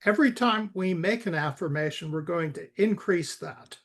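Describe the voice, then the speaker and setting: A man giving informal step-by-step coding instruction, halting and repeating words as he guides someone through it. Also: sits high, a bit bright. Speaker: software expert guiding a coding session.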